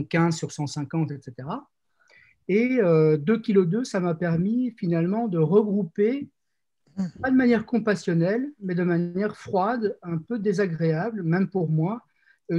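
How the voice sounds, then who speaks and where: A man's voice: on the high side, dark tone, muffled recording. A man, a novelist and essayist, speaking as a guest on a discussion panel, explaining in detail and restarting his sentences as he thinks.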